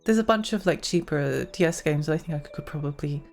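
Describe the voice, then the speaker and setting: A young woman streaming a game, chatting in a rambling way with lots of fillers and giggles, her voice getting hoarse. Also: sits low, brisk, a little mellow, faint music behind. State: losing her voice. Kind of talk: casual live-stream chat while gaming.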